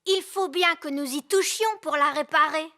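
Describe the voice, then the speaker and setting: A woman's voice: high voice, normal speed, bright, forceful tone. A woman voicing a confident, helpful young child in a French fairy-tale cartoon, earnest and eager.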